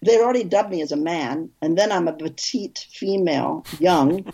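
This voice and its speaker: Husky low-voiced woman telling stories. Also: normal speed. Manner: animated, rambling, frequent restarts and laughs. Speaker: film director, interview guest. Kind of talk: casual interview storytelling.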